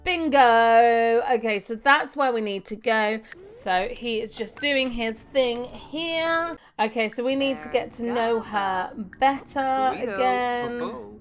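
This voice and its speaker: A woman's voice: on the high side, slow pace, soft background music. A woman hosting a casual game walkthrough, chattily talking through each step and sharing tips. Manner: chatty, narrates own actions step by step, reads pop-ups aloud. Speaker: gaming video host.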